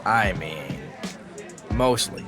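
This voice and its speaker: A man's voice: low voice, lively intonation, drawn-out words, fairly bright tone, heavily acted. A man acting a foul-mouthed, teasing police colleague in casual audio drama banter, drawling and crude. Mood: wry. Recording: music behind the voice.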